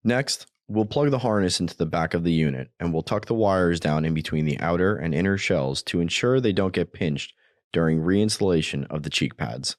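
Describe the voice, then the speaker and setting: Low-voiced guy walking thru setup steps, plain instructional voiceover. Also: animated, moderate pace. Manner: plain step-by-step explaining. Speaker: product demonstrator. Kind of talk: scripted instructional narration.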